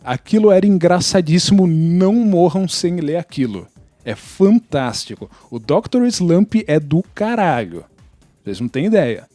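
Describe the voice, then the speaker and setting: A Brazilian man speaks in a fairly high yet very mellow voice, recorded close and dry. He explains things in an opinionated way, as in a casual podcast chat with a Brazilian Portuguese accent. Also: very animated, unhurried, dry recording, soft background music. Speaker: podcast participant.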